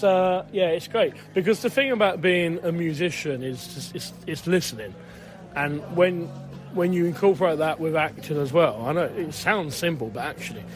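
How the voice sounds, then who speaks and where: Slightly high-voiced, self-deprecating male interview guest. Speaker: pantomime actor-musician, interview guest. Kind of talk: casual interview chat.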